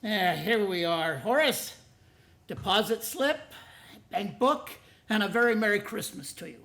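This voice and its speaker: A man's voice: high-pitched, lively intonation, unhurried, vocal fry, sharp tone, heavily acted. A man playing an absent-minded, flustered old uncle in a radio play. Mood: jovial teasing. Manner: dithering, stammering, taunting when cheerful.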